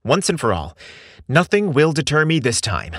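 Shy, anxious young man psyching himself up, played by a male narrator. Audio storybook, raised animated pitch, resolute self-talk. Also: moderate pace, dry recording, studio quality. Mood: nervous resolve.

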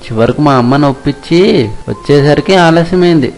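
A man voicing a hardworking, plain-spoken young village fisherman in an animated moral story. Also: on the high side, brisk, lightly acted. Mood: reassuring. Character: hardworking, stubborn but kind young fisherman.

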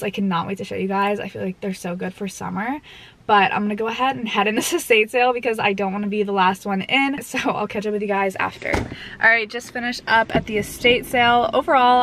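A woman's voice: medium pitch, fairly bright tone, a little hiss or hum. Chatty young woman vlogging from a car. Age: 20s. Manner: chatty, lots of "like" and "um". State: slightly hoarse, getting a cold. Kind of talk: casual chat to camera. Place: parked car. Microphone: camera mounted on dashboard.

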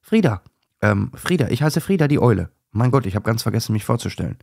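Flustered grumpy lady owl done by a man, pitched up, husky, for a children's tale. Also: very animated, quick pace, a little mellow, dry recording, studio quality, exaggerated voice. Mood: flustered, friendly. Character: grumpy, easily flustered female owl, quick to apologise.

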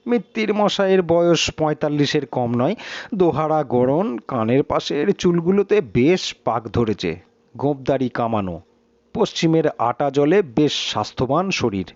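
A man's voice: fairly high pitch, a little mellow, dry recording. A man reading a story aloud as a dramatic audiobook narrator. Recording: slightly dull, muffled sound.

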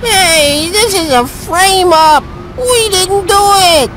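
Scheming weasel villain, high voice, drawn-out delivery, bossy, snappish, cartoon game, faint street noise. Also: female voice, expressive, fairly bright tone, full character voice. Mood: indignant, irritated. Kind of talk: exaggerated video game cartoon acting.

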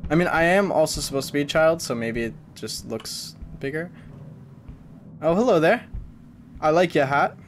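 A man's voice: fairly high pitch, expressive, moderate pace, long pauses, a little hiss or hum. A man in his twenties giving casual, wry, jokey commentary on a horror game as he chats to viewers. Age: 20s. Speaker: gaming streamer. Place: small indoor streaming room. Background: game music.

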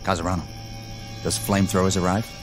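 A gung-ho young lieutenant in a radio war drama, played by a male actor. His voice sits low, clipped and commanding, full of contempt and appetite for combat. Music plays behind him. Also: flat delivery, fast, natural voice. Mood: cold, determined.

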